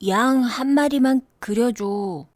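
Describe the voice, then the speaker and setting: Woman voicing a slow, high-pitched solemn little boy. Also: dry recording, studio quality. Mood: soft pleading. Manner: slow, childlike, drawn-out phrases. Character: small mysterious boy, solemn, gentle, insistent.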